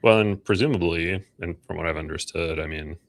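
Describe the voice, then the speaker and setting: Deep-voiced American man hosting a podcast. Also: brisk, no echo. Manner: informal, joking. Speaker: podcast host. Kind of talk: casual interview chat.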